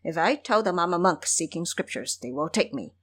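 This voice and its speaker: A woman's voice: on the low side, animated, brisk. Woman voicing a blustering, foolish pig-demon monk. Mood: nervous, dismayed. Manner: boastful, pleading when scared.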